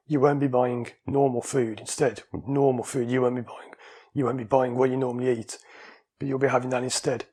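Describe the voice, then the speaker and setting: A man from the UK with a slightly husky voice chats to camera at a middle pitch. He rambles and corrects himself mid-sentence, like a relaxed home vlog. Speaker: vlogger. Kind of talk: casual talking-to-camera vlog.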